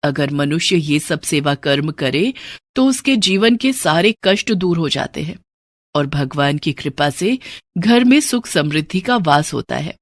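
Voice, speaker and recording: Woman playing a pious, measured older mother-in-law. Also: sits low, animated, no room sound, clean studio sound, heavily acted. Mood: earnest, advising. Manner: measured, earnest, moralizing. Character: pious, gentle, aging Indian mother-in-law, patiently advising.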